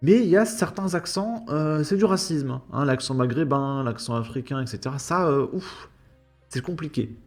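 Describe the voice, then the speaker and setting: A man's voice: on the high side, animated, normal speed, a little mellow, faint music behind. A man giving an opinion commentary, indignant and full of rhetorical questions. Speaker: YouTube commentator.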